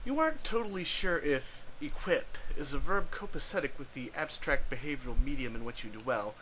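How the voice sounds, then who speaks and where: A man's voice: medium pitch, expressive, brisk, sharp tone, slight background noise, poor audio. A man narrating a scripted story, reading it aloud.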